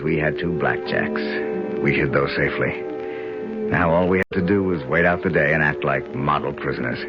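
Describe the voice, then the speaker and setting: A hard-boiled, deep-voiced narrator. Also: male voice. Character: Bill, tough man narrating his escape. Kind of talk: 1970s radio drama acting. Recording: muffled old radio broadcast with music.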